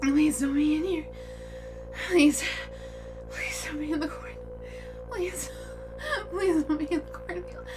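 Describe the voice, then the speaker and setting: A woman playing a terrified, tormented village girl in a horror radio drama, halting and pleading. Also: high voice, fairly even intonation, music in the background.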